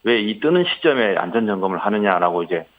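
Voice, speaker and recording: A man's voice: lowish voice, animated, fast talker. Man on a muffled phone line. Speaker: interviewee, airline passenger. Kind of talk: spontaneous account in a phone interview. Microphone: phone call. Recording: muffled, band-limited phone connection.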